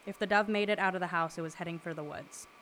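Exasperated, deadpan skeptical woman, played by an actress. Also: on the low side, fast, slight background noise, close to an everyday voice. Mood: exasperated, brisk. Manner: precise, clipped, deadpan. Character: exasperated, literal-minded skeptical woman researcher. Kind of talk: naturalistic audio drama acting.

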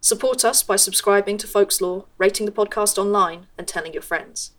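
A woman reading a podcast's end credits in an even, matter-of-fact way. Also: medium pitch, moderate pace. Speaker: credits announcer.

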